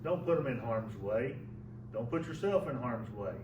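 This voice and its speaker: A man with a loud voice, giving plain-spoken, folksy advice as a local official. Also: mid-range voice, animated, moderate pace. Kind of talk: public address. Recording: echoey room, mic far off, background noise.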